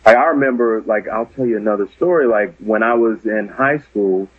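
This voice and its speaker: A man's voice: on the low side, moderate pace, breathy edge, no room sound, phone-quality sound. A man, a comedian guest on a podcast, telling a story in an earnest, self-deprecating way.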